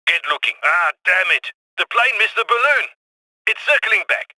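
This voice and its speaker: An actor playing a brisk heist planner, giving wry orders over the radio. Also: male voice, sits high, animated, bright, forceful tone, no echo, heavily acted, poor audio. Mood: businesslike. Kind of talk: game voice lines.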